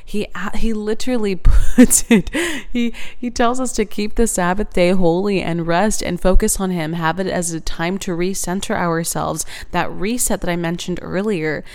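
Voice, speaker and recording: A young woman in her twenties talks earnestly about faith and rest, in a casual, rambling way full of fillers and small laughs. Her voice sits a little low and sounds smooth and clear. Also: fairly even intonation, normal speed. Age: 20s. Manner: earnest, warm-hearted, laughs often, many fillers. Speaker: podcast host. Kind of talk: reflective faith podcast monologue.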